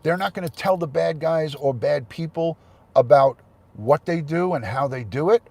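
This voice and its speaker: A man's voice: fairly high pitch, a little mellow. Stuffy-nosed man hosting a livestream. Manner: thanks chat viewers by name, chatty and rambling. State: congested with a head cold. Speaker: livestream host. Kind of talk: casual livestream chat.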